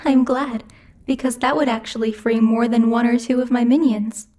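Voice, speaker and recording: A woman's voice: fairly high pitch, flat delivery, moderate pace, darkish tone, close to an everyday voice. A woman playing a bossy, haughty demon lord who teases and mocks imperiously in a theatrical ASMR roleplay. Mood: businesslike, smug. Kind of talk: ASMR roleplay, scripted character acting.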